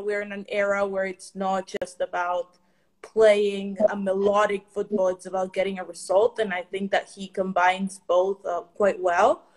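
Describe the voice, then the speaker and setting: A woman's voice: medium pitch, low-quality recording. Thoughtful woman from Ecuador. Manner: thoughtful, many ums and restarts. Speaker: football consultant guest. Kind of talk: interview answers.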